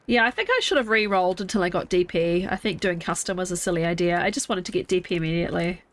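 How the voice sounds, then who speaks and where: A woman's voice: lowish voice, fast talker, a bit bright. A young woman streamer with a congested, stuffy nose, chatting casually and thinking aloud as she plays a game from home. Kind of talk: casual live gameplay chat.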